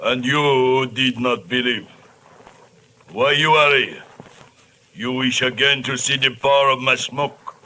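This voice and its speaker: Forceful island witch doctor, pompous broken english, old radio play, clearly noisy recording. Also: mid-range voice, fairly even intonation, takes it slowly, long pauses. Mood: imperious, menacing. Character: menacing old island sorcerer putting on mystical airs. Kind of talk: 1940s-50s radio drama acting.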